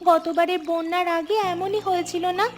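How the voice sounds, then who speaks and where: A woman's voice: very high-pitched, flat delivery, moderate pace. A woman voicing an anxious, observant young village girl in a cartoon, asking worried questions. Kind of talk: Bengali cartoon dialogue. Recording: music behind the voice.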